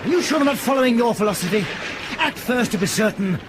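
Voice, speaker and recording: An actor playing a weary, remorseful ship's captain in a radio drama, halting and clipped. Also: male voice, very high-pitched, animated. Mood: bitter. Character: weary, bitter, remorseful male skipper in command. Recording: radio drama with clear background noise.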